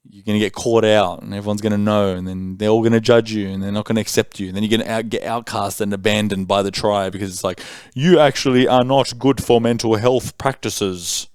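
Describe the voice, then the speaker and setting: A man's voice: lowish voice, steady tone, a little fast, hardly any pauses, a little mellow, smooth, no room sound, clean studio sound. A man in his thirties hosting a podcast, talking bluntly and emphatically with a lot of swearing. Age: 30s. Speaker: podcast host. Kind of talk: candid conversation.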